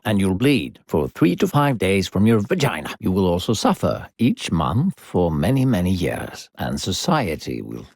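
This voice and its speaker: A deep, slow-talking male game narrator, who guides a child with false kindness and dark playfulness. His delivery is theatrical and condescending, swinging widely in pitch as he manipulates. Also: gravelly, breathy edge, dry recording, studio quality, exaggerated voice. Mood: matter-of-fact, ominous. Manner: theatrical, drawn-out, condescending storyteller addressing a child. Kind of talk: video game character narration.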